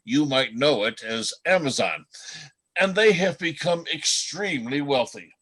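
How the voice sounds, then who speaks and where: A man playing a bright-voiced, chatty old-time storytelling reindeer. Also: medium pitch, lively intonation, measured pace, slightly rough. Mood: wry, explaining. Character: chatty, world-weary male reindeer telling old North Pole gossip. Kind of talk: radio play reading by amateur readers.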